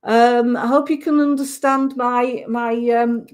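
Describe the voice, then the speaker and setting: Slow-talking Yorkshire woman, gentle and reassuring. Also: on the high side, steady tone. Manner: gentle, reassuring, with many hesitant restarts. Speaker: clairvoyant giving readings. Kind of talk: live psychic reading on a radio show.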